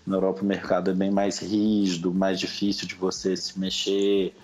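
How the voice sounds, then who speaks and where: A man's voice: deep voice, completely flat delivery, normal speed, darkish tone. A Brazilian man, middle-aged, speaking Brazilian Portuguese as a podcast guest, casually recounting his own experiences. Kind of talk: casual interview, personal recollection. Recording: slightly dull, lo-fi sound.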